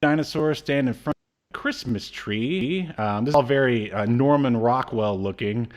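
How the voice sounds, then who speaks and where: A man's voice: mid-range voice, normal speed. A man in his thirties co-hosting a casual media review podcast, rambling hesitantly with dry asides. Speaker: podcast co-host. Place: small room at home. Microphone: video call setup.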